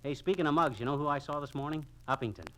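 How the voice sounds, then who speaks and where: An American actor playing a folksy, excitable, work-shy husband in a 1940s radio comedy, slangy and wisecracking. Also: male voice, fairly high pitch, fast talker. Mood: evasive, wisecracking. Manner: flowery spiel, wisecracking, slangy. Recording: vintage 1940s radio recording.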